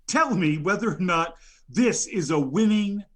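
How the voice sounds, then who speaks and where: A man's voice: high-pitched, lively intonation, a little slow, fairly bright tone. A man ranting in an improvised comedy bit, indignant and rambling, stammering through many fillers. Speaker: nominee on a comedy show.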